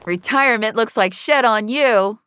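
Tough, gravelly low-voiced woman, acted by a narrator. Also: expressive, measured pace, no echo, clean studio sound. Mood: sardonic. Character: tough, dry-witted woman on the run, stubborn.

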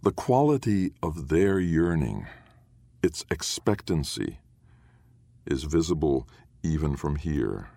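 A man narrates literary fiction in an extremely deep voice. His delivery is measured and solemn, each phrase set down deliberately. The recording is dry, with no room around the voice. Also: sing-song, vocal fry, dark tone, no room sound. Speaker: audiobook narrator.

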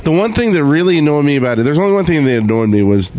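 A man's voice: on the high side, big pitch swings, rapid-fire, a little mellow. Casual man chatting on a gaming podcast. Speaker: podcast host. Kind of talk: casual group chat about games. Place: convention room with a live audience. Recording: live recording at a convention.

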